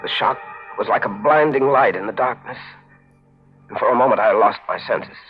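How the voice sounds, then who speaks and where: A man's voice: fairly low pitch, moderate pace, natural voice. An actor playing a bewildered, desperate man telling his own nightmare with intense 1940s radio mystery drama. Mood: haunted, grim. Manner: intense, dramatic first-person delivery. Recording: dull vintage 1940s radio recording, music behind.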